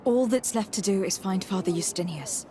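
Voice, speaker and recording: A woman's voice: mid-range voice, moderate pace, dark tone, a little hiss or hum, lightly acted. An actress playing a courteous, composed young noblewoman diplomat in a video game, speaking formally and inquiringly. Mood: composed, interested. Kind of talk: scripted video game dialogue.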